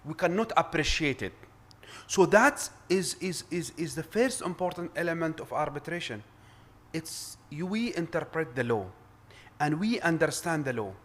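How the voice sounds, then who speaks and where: A man's voice: a little high, lively intonation, moderate pace, fairly bright tone. A middle-aged man with an Arabic accent speaking as an arbitrator at a conference panel, earnest and emphatic, often restarting and repeating words. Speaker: arbitrator and lawyer.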